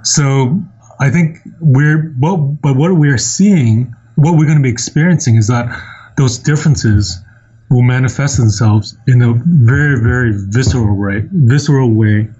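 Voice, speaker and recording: Mellow guy from hong kong, thinks it through slowly, lo-fi recording. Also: medium pitch, breathy edge. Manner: thoughtful, measured, some hesitations. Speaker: podcast guest. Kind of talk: casual interview talk.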